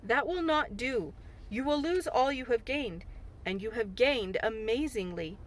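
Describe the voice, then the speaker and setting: A woman reading a storybook aloud, voicing a calm, measured middle-aged male doctor in her own voice. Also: a little high. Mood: thoughtful. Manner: measured, thoughtful.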